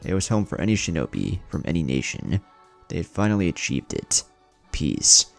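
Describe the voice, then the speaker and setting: A man's voice: low-pitched, very animated, normal speed, vocal fry, faint music behind. A man reading scripted story narration as the narrator.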